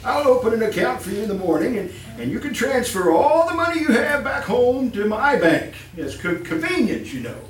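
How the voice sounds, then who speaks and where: A man's voice: extremely high pitch, big pitch swings, moderate pace, few pauses, reverberant, recorded from a distance, a little hiss or hum, low-quality recording. A man playing a fussy, murderous master of the house in a 1930s-style radio horror play, with a stammering, repetitive, chuckling delivery. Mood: oily, nervous. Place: live stage performance in a room.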